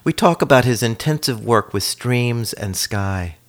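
A man hosting a community radio program, introducing the show and asking questions in a steady, measured way. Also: mid-range voice. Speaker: radio host and interviewer.

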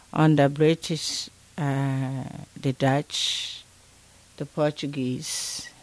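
An older woman from Ghana, an interview guest recalling her childhood slowly and thoughtfully, with many pauses. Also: low-pitched, creaky, darkish tone, rough recording.

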